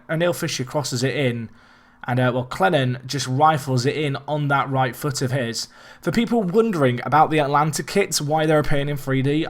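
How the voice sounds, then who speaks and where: A young man with a slightly raspy, hoarse voice, chatting casually as he commentates his own football management game, rambling and restarting and getting excited at big chances. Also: medium pitch. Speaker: let's play host.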